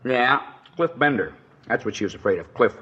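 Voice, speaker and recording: A man's voice: medium pitch, animated, a little fast, fairly bright tone, breathy edge. A man playing a chatty, nervous dance hall proprietor with rambling, slangy speech in an old radio drama. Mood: uneasy. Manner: rambling, folksy, ungrammatical slang. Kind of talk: 1950s radio drama acting, naturalistic. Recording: dull old radio recording.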